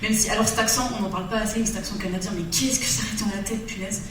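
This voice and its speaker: A woman's voice: mid-range voice, steady tone, rapid-fire, a bit bright, far from the mic, slight background noise. Theatrical woman in an echoey hall. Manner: theatrical, breaks into song. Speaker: stage performer. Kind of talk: comic storytelling monologue with songs. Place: theatre stage. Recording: echoey hall recorded from afar.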